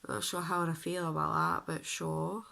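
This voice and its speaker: A young woman with a deep, husky voice, sounding ill and croaky, chatting casually to the camera about her makeup and feeling sick. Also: flat delivery, normal speed, bright, forceful tone. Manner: chatty and self-deprecating, sighing. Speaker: beauty vlogger.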